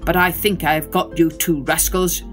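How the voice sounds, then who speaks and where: A woman's voice: on the low side, fairly even intonation, moderate pace, music in the background, natural voice. Woman playing bossy, grumbling Father Grumbler. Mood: sly. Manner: loud, commanding, scolding.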